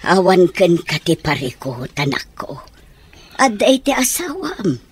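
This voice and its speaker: A woman's voice: lowish voice, very animated, measured pace, a little hiss or hum. An actress playing a consoling, motherly woman in an Ilocano radio drama, with an earnest, soothing delivery and an Ilocano accent. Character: consoling mother figure, gently advising.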